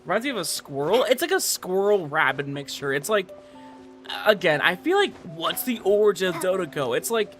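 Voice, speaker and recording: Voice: mid-range voice, expressive, normal speed, a bit bright. A streamer giving casual, rambling and emphatic commentary while gaming. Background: game music.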